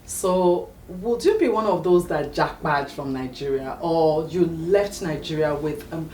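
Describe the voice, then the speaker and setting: A woman's voice: sits low, unhurried, darkish tone, slight background noise. Woman, interview host, lengthy questions, roomy echo, mic far off. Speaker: talk show host. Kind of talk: interview hosting. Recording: echoey room with faint music, away from the mic.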